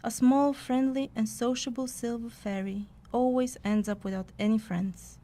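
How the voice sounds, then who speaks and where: A woman's voice: a little high, fairly even intonation, normal speed, mellow, close to an everyday voice. A woman playing a wry narrator-scribe who trails the hero in a scripted fantasy audio play. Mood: wry narrating.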